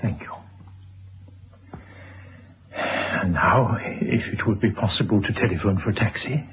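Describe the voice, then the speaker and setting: An actor playing a courteous, resolute former schoolmaster in a vintage radio drama, formal and measured. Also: male voice, fairly low pitch, lightly acted. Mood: formal, firm. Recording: dull, faintly noisy old radio recording.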